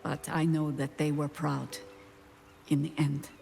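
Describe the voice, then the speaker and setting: Grave female warrior, slow stately delivery, formal, pious, medium pitch, game dialogue, light music. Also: little pitch movement, creaky, warm tone, full character voice. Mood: solemn. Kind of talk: video game dialogue acting.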